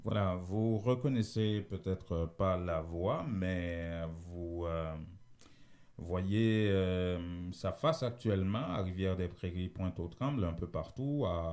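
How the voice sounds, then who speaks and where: A man's voice: sits low, warm tone. A man hosting a radio news show, talking listeners through items in a conversational, explanatory way.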